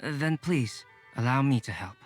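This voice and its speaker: An actor playing a calm, modest young male alchemist in a game cutscene, courteous and measured. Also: medium pitch, soft background music, lightly acted.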